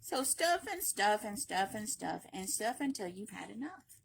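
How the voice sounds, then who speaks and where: A woman reading a children's picture book aloud in an animated, playful, emphatic way, with brief asides. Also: medium pitch, measured pace, creaky, a little hiss or hum. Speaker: library read-aloud reader.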